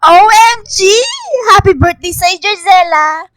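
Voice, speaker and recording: A woman's voice: very high voice, fairly even intonation, measured pace, fairly bright tone, dry recording. A woman playing a naive, lovestruck woman in her twenties in a radio drama, with dramatic, emotional delivery. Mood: giddy, teasing. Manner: dramatic, pleading.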